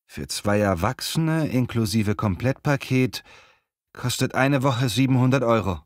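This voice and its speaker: Guy acting friendly young brother in a language lesson dialogue, careful clear speech, dry close sound. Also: mid-range voice, expressive, no room sound, lightly acted. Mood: informative. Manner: clear, measured, textbook delivery. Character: friendly young man, apprentice, planning parents' gift. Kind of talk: scripted language-learning dialogue, clearly enunciated.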